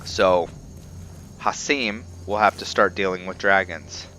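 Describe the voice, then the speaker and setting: Deep-voiced man doing casual game commentary. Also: takes it slowly, bright, forceful tone, soft background music. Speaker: gaming video host.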